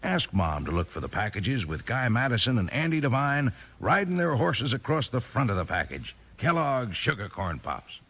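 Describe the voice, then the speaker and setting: A man delivering a hearty, folksy 1950s radio commercial as the announcer, with a husky voice. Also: mid-range voice, very animated, normal speed, few pauses, a bit bright.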